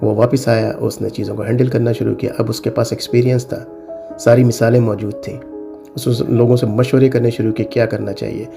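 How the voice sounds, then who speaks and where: A middle-aged man with a Pakistani accent speaks in a gravelly, creaky voice at a medium pitch. He talks straight to viewers in an informal advisory style, stressing and repeating warnings and switching into English phrases. Music plays clearly behind him. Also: little pitch movement, brisk. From South Asia (Pakistan). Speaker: cyber security consultant and advice video host.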